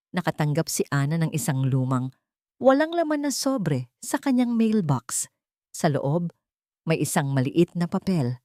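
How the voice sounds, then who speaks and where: A woman's voice: deep voice, darkish tone, no echo, studio quality. A woman reading a scripted dramatic romance story as its narrator.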